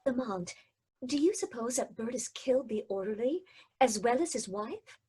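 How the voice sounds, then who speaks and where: High husky female voice, woman acting an anxious sleuth's companion, animated, old-time radio drama. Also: moderate pace. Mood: worried, questioning. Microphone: video-call microphone.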